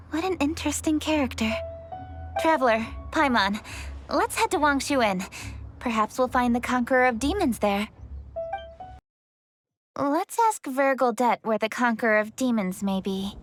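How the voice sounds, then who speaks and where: Woman as a high-voiced, poised young official. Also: a little slow, pauses often, soft background music, lightly acted. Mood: composed. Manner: composed, formal, articulate. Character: poised, dutiful young noblewoman official, brisk and sincere. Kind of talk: game cutscene voice acting.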